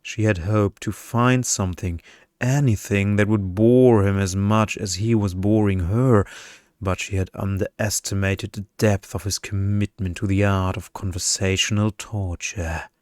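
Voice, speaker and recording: A man narrating a short story in a deliberate, seductive ASMR storytelling style. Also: lowish voice, steady tone, no room sound, studio quality. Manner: slow, deliberate, seductive delivery.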